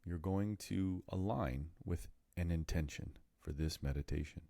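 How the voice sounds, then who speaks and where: Meditation guide, male: very deep and mellow, soothing and deliberate in delivery, unhurried pauses between phrases. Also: gravelly, dry recording.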